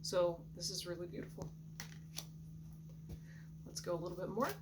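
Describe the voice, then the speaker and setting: A woman giving a soft, reflective intuitive tarot reading in a groggy morning voice, often clearing her throat. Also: on the low side, moderate pace. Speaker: tarot reader. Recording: steady background hum.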